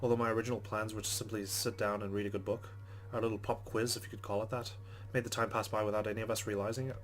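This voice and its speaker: A man's voice: lowish voice, little pitch movement, fast. A man hosting a game playthrough, reading the story text aloud with casual asides. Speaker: let's play host.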